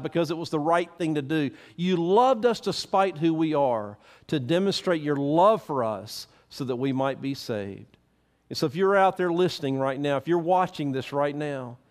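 A middle-aged man preaching from a church stage, fervent and emphatic, wearing a headset mic. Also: fairly high pitch. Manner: fervent preaching with rising emphasis, stands and gestures. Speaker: pastor. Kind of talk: sermon and closing prayer.

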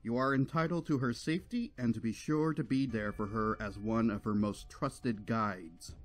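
A man's voice: mid-range voice, moderate pace, soft background music, natural voice. A man voicing a stern, protective cartoon unicorn king who speaks in a formal, commanding way. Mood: stern, threatening. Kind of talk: fan cartoon voice acting.

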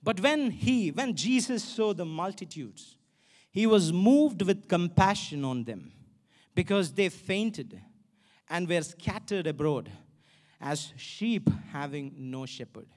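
High-pitched Indian male preacher. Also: expressive, slow pace, darkish tone. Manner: emphatic, exhortatory, repeats phrases for stress. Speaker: pastor. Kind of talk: sermon. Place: large stage in an indoor auditorium. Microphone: handheld microphone.

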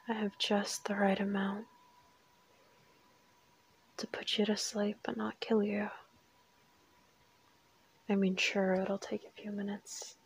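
Female assassin kidnapper, acted by a woman, audio roleplay, breathy whispering, fry-laden, fairly flat intonation, teasing, coolly menacing. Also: mid-range voice, full character voice. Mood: coolly threatening. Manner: taunting, unhurried, coolly threatening.

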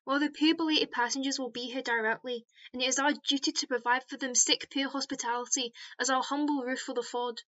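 A woman's voice: sits high, fairly even intonation, fairly quick, hardly any pauses, fairly bright tone. A woman acting a doting, sentimental old Scottish cottage mother in a farcical radio play. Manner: sentimental, mournful, broad dialect. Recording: dull lo-fi home recording.

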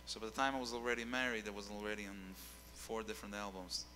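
Young man, singer as guest, bright tone, rambling delivery, handheld mic in a reverberant hall. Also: fairly low pitch, steady tone, fast talker, slight background noise. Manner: rambling, repeats words while searching. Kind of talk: casual interview on stage. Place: dark stage with keyboard.

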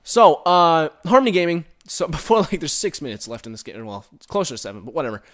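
A man giving casual, rambling commentary while playing a game, recorded on a headset and reacting loudly to the action. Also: a little high, animated, quick pace. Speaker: gaming commentator.

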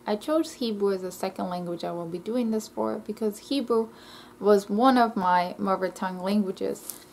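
A woman's voice: medium pitch, moderate pace, creaky, a little mellow, a little hiss or hum. A young woman from Israel chatting on her bedroom webcam vlog, reading notes with frequent ums and self-corrections. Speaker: language vlogger. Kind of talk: casual educational vlog. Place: small bedroom, indoors. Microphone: laptop webcam mic.

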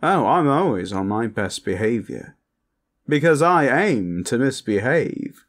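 A man's voice: medium pitch, very animated, measured pace, pitched above the performer's own voice. A man voicing a posh, cheeky, lewd and vain vampire with a flamboyant, pompous manner in an audio roleplay. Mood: gleefully cheeky.

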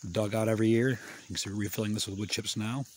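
Rambling, low-voiced man on an outdoor walk. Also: quick pace, a little hiss or hum. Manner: relaxed, rambling, plain-spoken. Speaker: permaculture farmer. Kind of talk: casual walking garden tour. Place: outdoors on a wooded terraced hillside. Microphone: phone held at arm's length.

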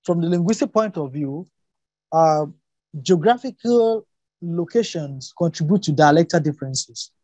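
A man who grew up speaking Yoruba talks through ideas in an academic discussion. His voice is high for a man and mellow in tone, recorded dry and close. He is emphatic, circling back to rephrase his points. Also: expressive, moderate pace, dry recording, lo-fi sound. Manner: emphatic, repeats and rephrases, poses rhetorical questions.

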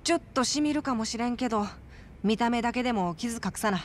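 A woman's voice: on the high side, fast, slight background noise, close to an everyday voice. Streetwise young game informant, female actor, Kansai accent, brash and teasing, mid-to-light casual delivery. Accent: Japanese Kansai.

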